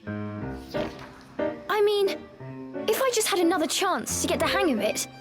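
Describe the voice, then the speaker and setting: A woman voicing a young witch girl with a British accent, an impulsive, expressive, childlike pupil in a storybook video game. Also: very high-pitched, takes it slowly, exaggerated voice. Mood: mischievous. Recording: music loud behind the voice.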